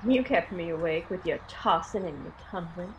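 A woman's voice: fairly low pitch, animated, normal speed, a little hiss or hum, lightly acted, poor audio. A woman playing an anxious, superstitious older mother with folksy phrasing in a radio drama. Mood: teasing.

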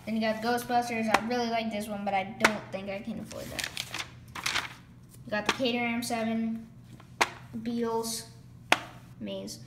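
A woman's voice: a little high, flat delivery. A woman who is losing her voice, casually rambling as she flips through a toy catalog for her vlog. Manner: rambling, lists items quickly with filler words. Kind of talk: casual off-the-cuff vlog commentary. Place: indoors, room. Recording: echoey room with clear background noise.

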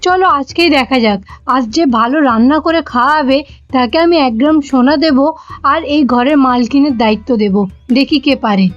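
A woman's voice: high voice, brisk, no echo, close to an everyday voice. A woman voicing a bossy, hot-tempered middle-aged mother-in-law in a comedy cartoon, with a Bengali accent and an exclamatory manner. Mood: commanding. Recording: dull, lo-fi audio with music behind.

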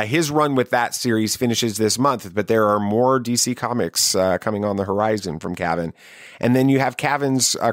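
A man's voice: medium pitch, no echo, studio quality. A man hosting a podcast, talking to listeners in an interview and sign-off style. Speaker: podcast host.

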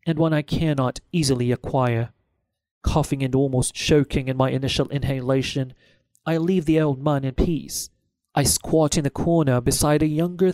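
A man's voice: medium pitch, darkish tone, dry recording. A man reading first-person fiction as an audiobook narrator, in a scripted storytelling style.

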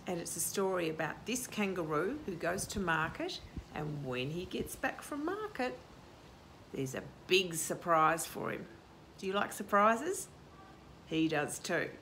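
Slow, animated older woman storyteller. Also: on the low side, gravelly, slight background noise. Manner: animated, sing-song, asking the listener questions. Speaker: children's storytime host. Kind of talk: reading a picture book aloud to young children. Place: outdoor garden patio. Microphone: camera a short distance away.

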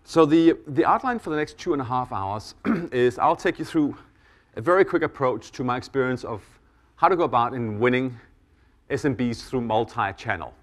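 A middle-aged Danish-accented man hosting a business conference from the stage. He talks in a relaxed, self-deprecating way into a stage mic and fills his pauses with "uh" and "um". His voice sits at a medium pitch for a man. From Denmark. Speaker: conference host and keynote speaker. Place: conference hall.

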